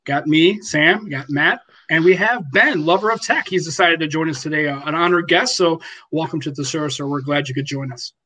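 A man's voice: on the high side, moderate pace, hardly any pauses, pushed and bright, a little hiss or hum. A man hosting a casual podcast, welcoming a guest and asking rambling questions with many restarts. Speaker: podcast host. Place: home room lit by daylight.

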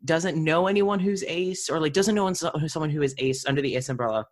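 A woman's voice: deep voice, fairly quick. A woman talks in a casual interview style. She sounds earnest and explanatory, like someone working through ideas in conversation. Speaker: interview participant, actor.

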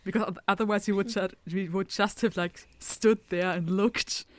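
A man playing a tabletop game, talking quickly and hesitantly with restarts. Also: high voice, steady tone, fairly bright tone. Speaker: player. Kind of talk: casual tabletop roleplay chat.

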